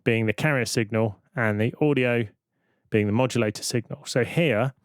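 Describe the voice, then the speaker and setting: Man, mid-pitched voice, tutorial presenter, informal step-by-step explaining, dry close-miked voice-over. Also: normal speed, no echo. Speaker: music software tutorial presenter. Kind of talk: explanatory software demonstration.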